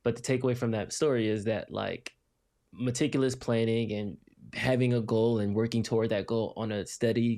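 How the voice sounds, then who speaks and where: A man's voice: on the low side, dark tone. A man co-hosting a live podcast, explaining his views in a loose, rambling way with lots of fillers. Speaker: co-host reading the chat. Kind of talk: casual live-stream talk.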